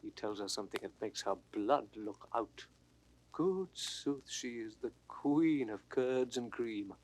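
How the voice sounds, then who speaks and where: A man playing a courtly, wry older lord in classical Shakespearean verse, gallant and measured. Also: mid-range voice, expressive, reverberant, lightly acted, poor audio. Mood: admiring.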